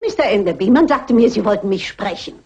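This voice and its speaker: A woman's voice: medium pitch, expressive, brisk, warm tone, breathy edge. An actress playing a shrewd, composed mature lady sleuth in a German radio crime drama, dry, precise and dignified, in a dull old recording. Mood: dry, polite.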